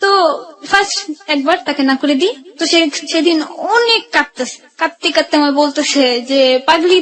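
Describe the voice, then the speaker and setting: A woman's voice: high voice, low-quality recording. A young adult woman with a Bangladeshi accent telling her own life story on a radio show in colloquial, run-on narration.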